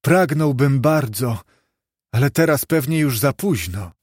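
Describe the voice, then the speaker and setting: A man's voice: mid-range voice, lively intonation, unhurried, a little mellow, no echo, clean studio sound. A man voicing a sentimental old man who eagerly exclaims over his childhood memories in a storybook reading. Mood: wistful, regretful. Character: old man Scrooge, excitedly and tearfully reliving childhood memories.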